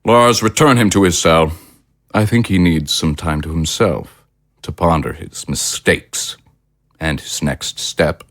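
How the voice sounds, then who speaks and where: An actor playing a coldly polite, powerful villain in an audio drama, speaking in a formal, measured, condescending way. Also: male voice, deep voice, fairly bright tone, no room sound, clean studio sound. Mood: menacing.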